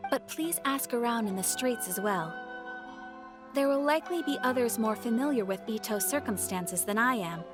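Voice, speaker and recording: Lady doing a calm formal robot clerk from a game, bit high pitched, faint music behind. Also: close to an everyday voice. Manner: formal, measured, courteous. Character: polite female robot guild receptionist, composed. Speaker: guild receptionist. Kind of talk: video game voice acting.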